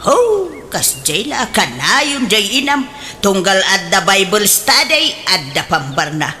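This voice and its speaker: A gossipy, pious Filipino aunt played by a woman in a radio comedy drama. Her voice is bright and forceful, swinging up and down as she scolds in drawn-out, exclamatory phrases. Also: mid-range voice, a little hiss or hum, exaggerated voice. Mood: unhurried, chatty. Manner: expressive, exclamatory.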